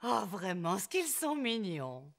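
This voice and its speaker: Cartoon grandma pig: elderly, sweet, cooing, slow and lilting. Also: female voice, mid-range voice, very animated, vocal fry, heavily acted. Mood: doting. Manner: tender, cooing. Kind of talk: French children's cartoon dubbing.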